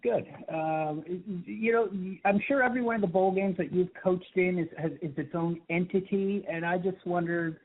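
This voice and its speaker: A man, a sports reporter, asking a coach long, halting questions with many restarts on a conference call. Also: high-pitched, steady tone. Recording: tinny phone line.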